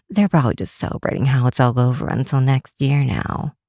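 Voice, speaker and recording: A woman's voice: extremely low pitch, big pitch swings, moderate pace, warm tone, slightly rough, no echo, clean studio sound, heavily acted. A woman playing a cynical, sardonic but secretly affectionate grinch girl in an ASMR roleplay, drawing out words for emphasis. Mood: exasperated, sardonic. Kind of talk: ASMR roleplay, scripted acting to the listener.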